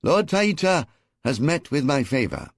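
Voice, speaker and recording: A man voicing an ancient Egyptian pharaoh who makes grand, ceremonious proclamations in a strong, clear, carrying voice. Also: mid-range voice, huge pitch swings, a little slow, no echo, studio quality, pitched above the performer's own voice, exaggerated voice. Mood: regal. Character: commanding male Egyptian pharaoh, regal ruler.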